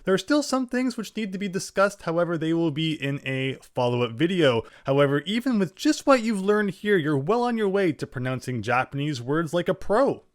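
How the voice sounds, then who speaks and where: Lively man explaining pronunciation. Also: on the high side, moderate pace, hardly any pauses, no room sound, clean studio sound. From English-speaking. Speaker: language teacher. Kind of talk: scripted lesson narration.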